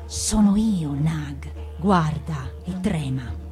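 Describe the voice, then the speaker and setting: Woman as a slow, sinister male cobra. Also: sits low, lively intonation, a little mellow, soft background music, voice pushed down, exaggerated voice. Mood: menacing.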